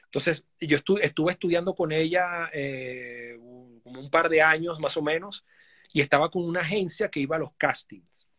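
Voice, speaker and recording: A man's voice: a little high, moderate pace, a bit bright. A Venezuelan man in his forties, talking casually with many fillers and restarts as a guest on a live video call from his living room. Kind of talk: casual live-stream interview.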